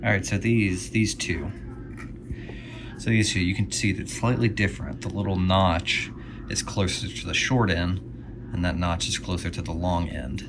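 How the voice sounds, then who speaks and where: Man, low pitch, casual vlog narration, hands-on repairer, small workshop, noisy background. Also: fairly even intonation, moderate pace. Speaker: video host and radio restorer. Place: tiny home workshop. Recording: clear background noise.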